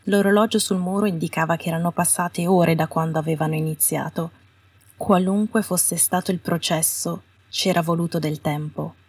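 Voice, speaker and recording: A woman's voice: on the low side, fairly even intonation, normal speed, breathy edge. A woman reading scripted fiction as an audiobook narrator. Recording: roomy echo, distant from the mic.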